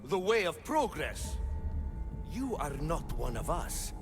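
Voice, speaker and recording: An actor playing a proud, aggrieved heir in a video game, solemn, measured and formal. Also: male voice, a little high, big pitch swings, music in the background. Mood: solemn, guarded. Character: proud, aggrieved male heir to a mythical city's rule. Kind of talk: video game cutscene acting.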